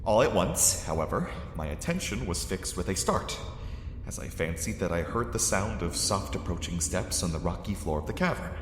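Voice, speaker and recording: A man giving a measured, grave first-person horror narration that swells with dread. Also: sits low, lively intonation, a little hiss or hum. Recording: echoey cave-like reverb with music.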